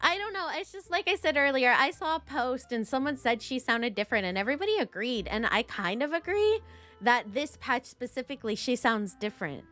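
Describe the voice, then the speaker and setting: Lively, high-voiced woman streaming a game. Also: brisk. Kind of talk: casual live game commentary, chatting to viewers. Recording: game music behind.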